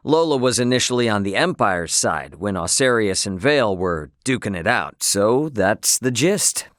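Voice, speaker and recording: Game role: brash young male space captain, slangy and good-hearted, lively swooping pitch, dry close sound. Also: normal speed, no echo. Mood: casual, friendly. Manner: casual, slangy, plain-spoken. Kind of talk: video game voice acting.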